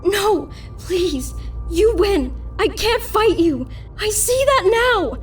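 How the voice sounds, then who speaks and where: A woman playing a desperate young captive in an animated fan drama, pleading tearfully with a tormentor. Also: very high-pitched, takes it slowly, far from the mic, faint music behind, heavily acted.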